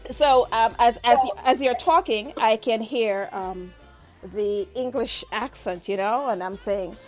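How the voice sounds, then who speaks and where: A woman's voice: on the high side, a little slow, faint music behind, low-quality recording. A Jamaican woman hosting a studio interview, enthusiastic and encouraging, laughing often. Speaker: interview host. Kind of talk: radio-style interview. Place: music studio.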